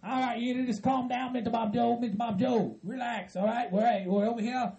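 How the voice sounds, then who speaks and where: A man voicing an earnest Southern hillbilly kinsman who stammers, repeats himself and tries to calm others down. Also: extremely high pitch, brisk, gravelly, highs cut off. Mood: placating. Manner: stammering, repetitive, pleading for calm.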